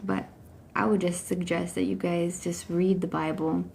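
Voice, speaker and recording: Woman in her 30s, casual faith livestreamer, gravelly mellow voice, faint hiss behind. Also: lowish voice, little pitch movement, normal speed. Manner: chatty, many fillers and restarts. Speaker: Bible study livestreamer. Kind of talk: casual Bible reading chat. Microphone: phone.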